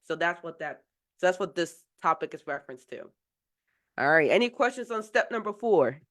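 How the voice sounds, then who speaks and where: A woman with a slightly low voice who is getting over a cold, mentoring viewers in a live session. She explains things casually and rambles, with lots of fillers and restarts. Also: lively intonation, normal speed, fairly bright tone. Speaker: software engineering mentor.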